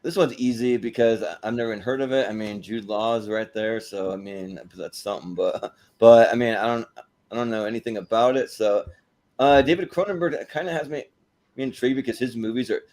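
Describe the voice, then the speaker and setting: A man's voice: mid-range voice, fairly quick, a little mellow. A man hosting and chatting on a casual movie panel in an informal, joking way. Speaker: host and panelist.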